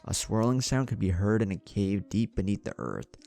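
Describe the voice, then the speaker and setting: Deep-voiced man narrating, gravelly edge. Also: sing-song, normal speed, dark tone. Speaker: narrator of fan fiction stories. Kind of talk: scripted story narration with acted dialogue. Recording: music behind the voice. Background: background music.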